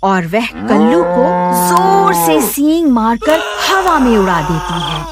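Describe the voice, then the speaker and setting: Casting: female narrator for dramatic moral tales, very lively intonation, deliberate pace, heavy animal ambience behind. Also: fairly high pitch, vocal fry, very noisy. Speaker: story narrator. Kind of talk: dramatic scripted narration of a children's moral story. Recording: loud cattle and cricket sounds behind.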